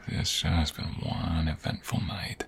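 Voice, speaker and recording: A man acts out a devoted, gentle boyfriend in an ASMR romance roleplay. His voice is very deep and creaky, and he takes his time with slow, soft, soothing words that often drop toward a whisper. He sounds tender and protective. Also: slight background noise, heavily acted.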